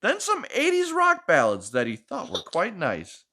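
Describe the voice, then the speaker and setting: A man's voice: sits high, huge pitch swings, unhurried, bright, forceful tone. A man hosting a children's storytime, reading a picture book expressively with playful, chatty asides to a child. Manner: playful, asking questions and echoing the child's answers.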